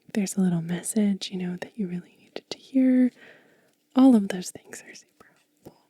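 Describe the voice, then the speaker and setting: A woman hosting an ASMR video in a soft, breathy whisper, talking gently and unhurriedly, close to the microphone. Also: medium pitch, normal speed, no echo. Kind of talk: soft-spoken whispered ASMR, show and tell.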